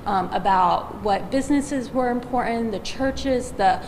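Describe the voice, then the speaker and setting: A woman's voice: on the high side, measured pace, a little mellow. A woman giving a spontaneous answer in a news interview. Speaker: interviewee on local history. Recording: long reverberant room with faint noise.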